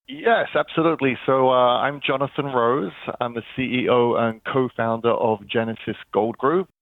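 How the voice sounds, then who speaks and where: A man's voice: mid-range voice, moderate pace, no room sound. A man with a London accent, a guest telling his story in an earnest, chatty way over the phone. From England. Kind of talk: phone interview. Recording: tinny phone line, dry.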